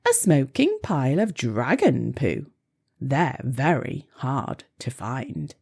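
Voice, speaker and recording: A woman voicing an eager, boastful gentleman collector in a children's storybook, with theatrical, gushing delivery. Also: rumbling low, huge pitch swings, takes it slowly, vocal fry, slightly rough, voice pushed down, heavily acted. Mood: boastful, relishing. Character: eager, showy gentleman collector of exotic poo.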